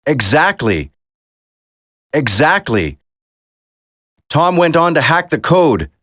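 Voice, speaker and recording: An actor playing an earnest, determined young special-forces soldier in a dramatic video game. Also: male voice, on the high side, sing-song, slow, a bit bright, no room sound, clean studio sound, full character voice. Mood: focused.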